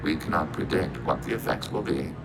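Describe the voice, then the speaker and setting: A man's voice: extremely low pitch, brisk, music in the background. A man voicing a logical, emotionless robot soldier of a collective mind in a video game, speaking in formal, clipped statements through synthetic processing. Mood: impassive, analytical. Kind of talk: video game character acting.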